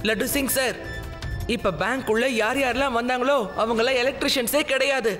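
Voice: medium pitch, steady tone, brisk, close to an everyday voice. A performer voicing a brave, clever young boy hero in a cartoon dub, earnest and take-charge. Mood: urgent. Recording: prominent music behind the dialogue.

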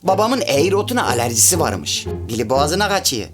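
Lively, folksy Central Anatolian rambler. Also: male voice, fairly high pitch, quick pace, music in the background. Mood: chatty storytelling. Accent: rural Central Anatolian Turkish, Çorum area. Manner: folksy, rambling, pedantic about grammar. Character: chatty half-French man with provincial Turkish manners, sentimental. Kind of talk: comic radio theatre dialogue.

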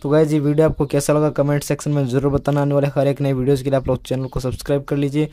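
A man talks at a quick clip in a soft, mellow tone. He hosts casual tech explainers, often repeating himself and addressing his viewers as friends. The sound is close and dry. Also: fairly high pitch, monotone, no echo. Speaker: tech video host. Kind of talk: casual smartphone update explainer.

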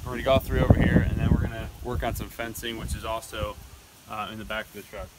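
A man's voice: lowish voice, level tone, fast. A man hosting a farm vlog outdoors, casually explaining what he is doing as he works. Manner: easygoing, guiding and explaining as he works. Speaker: vlog host and farmer. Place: outdoors on a farm, windy and rainy. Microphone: camera mic outdoors. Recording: wind and outdoor noise loud. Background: wind and farm animals.

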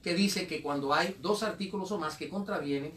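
A man, a candidate being interviewed on a radio show, insistent and quick to protest. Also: a little high, fairly bright tone, roomy sound, distant mic, a little hiss or hum. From born in the United States, raised Dominican. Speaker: presidential candidate, interview guest. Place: radio studio.

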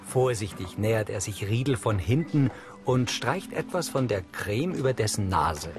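A man's voice: fairly low pitch, animated, normal speed. A man reading factual audio description in a neutral, scripted style. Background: music.

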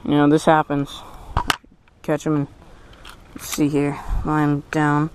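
A young man filming himself fishing from a small boat on a lake, talking casually and exclaiming excitedly over his catch through a head-mounted camera. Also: on the high side, takes it slowly, background noise. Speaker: fishing vlogger. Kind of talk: casual commentary while fishing. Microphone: head-mounted action camera.

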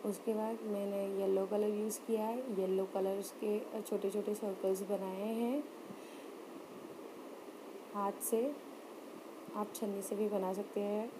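A woman gives an unscripted step-by-step craft demonstration, hesitant and pausing often. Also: medium pitch, little pitch movement, noisy background. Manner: halting, hesitant, with frequent pauses. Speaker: craft tutorial host.

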